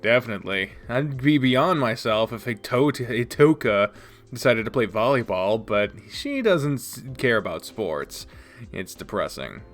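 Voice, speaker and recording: A man voicing a troubled, guarded teenage boy athlete in a low, subdued way. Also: very animated, measured pace, soft background music. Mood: chatty.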